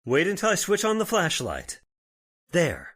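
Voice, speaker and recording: An actor playing a skeptical, folksy small-town sheriff in an old-time radio horror play, plain-spoken and authoritative. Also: male voice, high voice, huge pitch swings, a little slow, a bit bright, slightly rough, exaggerated voice. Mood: grave.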